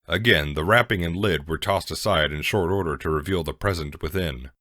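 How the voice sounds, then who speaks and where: A man's voice: low voice, brisk, pushed and bright, no echo, clean studio sound. A man narrating a scripted fan fiction story as a dramatic reading.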